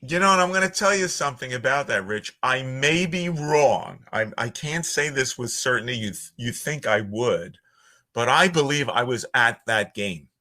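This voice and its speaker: A man with a Philadelphia accent, a voiceover artist talking as a guest on a podcast in a measured, articulate way. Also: mid-range voice, expressive, pushed and bright. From Northeast Philadelphia. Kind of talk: conversational podcast interview. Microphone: audio-only remote podcast call.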